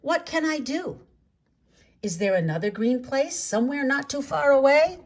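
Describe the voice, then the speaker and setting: A woman's voice: high-pitched, animated, moderate pace, voice pushed up. A woman voicing an eleven-year-old boy in a storybook, awed and uneasy, asking questions anxiously. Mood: anxious wonder.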